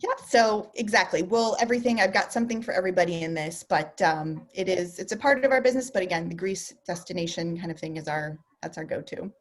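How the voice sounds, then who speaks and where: A woman's voice: on the low side, fast talker, hardly any pauses. Chatty woman presenting over a video call. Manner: chatty, lots of ums and restarts, laughs easily. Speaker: travel company co-founder presenting. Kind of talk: casual virtual presentation.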